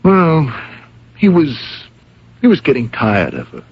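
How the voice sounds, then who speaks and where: An actor playing a respectful, frank theatre employee answering questions in a radio drama. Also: male voice, mid-range voice, huge pitch swings, slow pace, gravelly, husky, heavily acted. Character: deferential, candid male theatre employee being questioned. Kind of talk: 1970s-80s radio drama acting. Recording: dull lo-fi old radio recording.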